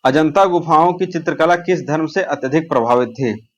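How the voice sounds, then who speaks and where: A man's voice: a little high, moderate pace. A man teaching an exam-prep lesson, asking quiz questions and answering them himself, repeating the key answers. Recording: dull lo-fi audio.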